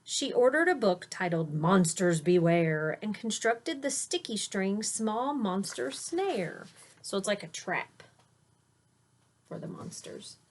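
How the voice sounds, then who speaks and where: A woman reading a children's picture book aloud expressively, stressing key words and pausing to explain things simply for young listeners. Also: mid-range voice. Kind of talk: storybook read-aloud with simple explanatory asides.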